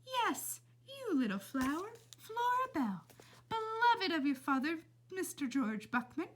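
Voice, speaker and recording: A woman's voice: very high-pitched, sing-song, unhurried, voice pushed up, full character voice. A woman voicing an effusive, welcoming old lady in a storybook, speaking with theatrical endearments. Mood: gushing welcome. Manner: gushing, theatrical, addressing listener with endearments. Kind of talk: storybook read-aloud character voice.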